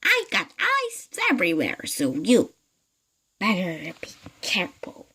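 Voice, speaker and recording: A woman voicing Mrs Twit, a nasty old woman who cackles and screeches in a shrill high voice. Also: wildly swooping pitch, unhurried, vocal fry, pushed and bright, slightly rough, heavily acted. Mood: gloating.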